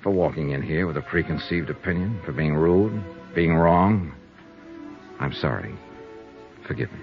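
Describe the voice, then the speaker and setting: A tough american private eye from an old radio show, deep voice, terse and wry, muffled recording w music. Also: male voice, normal speed. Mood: subdued, apologetic. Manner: clipped, terse, hard-boiled. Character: tough, wry male private investigator, hero narrator. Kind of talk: 1950s radio detective acting, hard-boiled narration.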